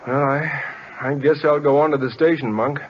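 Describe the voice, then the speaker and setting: A man with an American accent playing a modest, educated teacher turned pitcher in radio drama, polite and hesitant. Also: steady tone, normal speed, lightly acted. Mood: resigned. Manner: polite, hesitant, well-spoken. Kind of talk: 1940s radio drama acting. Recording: dull old radio recording.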